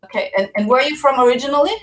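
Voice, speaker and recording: A woman's voice: high voice, rapid-fire. A woman hosting a casual interview on a video call, upbeat and greeting viewers. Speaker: host.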